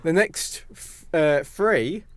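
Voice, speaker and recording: A man's voice: on the high side, lively intonation, slow, a crowd in the background. A man co-hosting a podcast, talking casually through film news. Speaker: podcast co-host.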